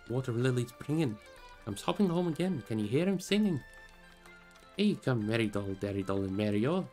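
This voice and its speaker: A man's voice: medium pitch, a little mellow. A man voicing a jolly, carefree old forest dweller from a fantasy audiobook, with a bouncy, sing-song, rhyming delivery. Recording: music behind the voice.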